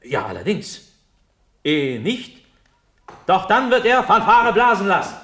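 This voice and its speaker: High-pitched, excitable young prince. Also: male voice, a little slow, full character voice. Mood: excited, distracted. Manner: agitated, abrupt, distracted. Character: dreamy, excitable young prince and cavalry officer. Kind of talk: classical verse radio drama.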